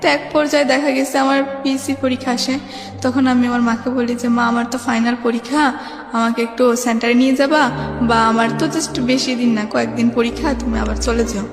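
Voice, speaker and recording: High-pitched young Bangladeshi woman in echo. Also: steady tone, brisk. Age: young adult. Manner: rambling, often quotes remembered dialogue. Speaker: guest telling her life story. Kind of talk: informal personal storytelling. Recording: reverberant hall echo, music behind.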